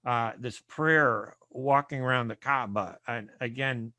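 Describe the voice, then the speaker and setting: Male radio host from the US, bright, forceful tone, dry close sound. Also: medium pitch, measured pace, no echo. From United States. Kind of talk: conversational radio interview.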